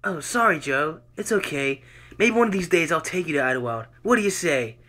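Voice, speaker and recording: A man's voice: on the high side, animated, fairly quick, pushed and bright, voice pushed up. A man voicing a probing doctor who questions his patient in a coaxing, patronizing way.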